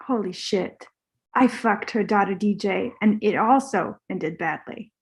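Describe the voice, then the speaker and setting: A woman's voice: medium pitch, a little slow, close to an everyday voice. A woman reading the part of an easygoing, naive male actor with a fading career, earnest and casual, in a comedy table read.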